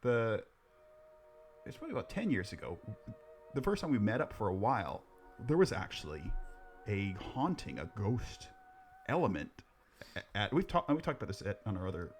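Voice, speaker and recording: A man's voice: on the low side, big pitch swings, moderate pace, pauses often, soft background music. A middle-aged Canadian man co-hosting a podcast, chatting casually and joking in self-deprecating banter. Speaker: podcast co-host. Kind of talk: casual chat.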